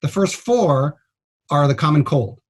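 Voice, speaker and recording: A man's voice: fairly high pitch, expressive, unhurried, breathy edge. A man speaking as a guest doctor in a radio interview, explaining things in a plain-spoken, blunt and informal way. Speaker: trauma surgeon, guest doctor.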